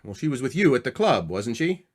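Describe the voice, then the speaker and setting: A man's voice: mid-range voice, big pitch swings, fairly quick. A man playing a loyal, reassuring friend and husband in old-time radio drama, speaking in short clipped phrases.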